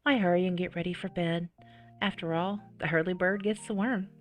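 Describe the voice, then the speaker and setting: Expressive woman reading a game aloud, music behind. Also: on the low side, fairly quick. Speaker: gamer playing and reading a visual novel aloud. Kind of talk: dramatic read-aloud with casual reactions.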